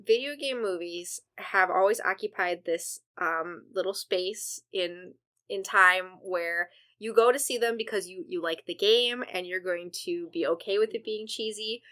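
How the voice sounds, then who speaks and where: A woman's voice: mid-range voice, measured pace, bright, forceful tone. A young woman co-hosting a podcast, leading the talk in a chatty, upbeat way. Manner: leads the topic, upbeat and chatty. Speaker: podcast co-host. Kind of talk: casual podcast chat.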